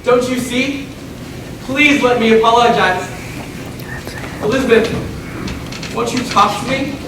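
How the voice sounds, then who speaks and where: A man playing an apologetic, folksy small-town father in a stage play, gently coaxing. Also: very high-pitched, steady tone, a little slow. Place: stage in a reverberant hall, distant mic. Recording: echoey hall from far off, noisy.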